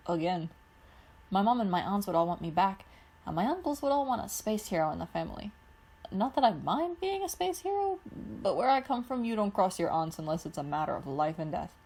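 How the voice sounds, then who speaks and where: A woman's voice: mid-range voice, darkish tone. A woman voicing a boastful joking young pilot.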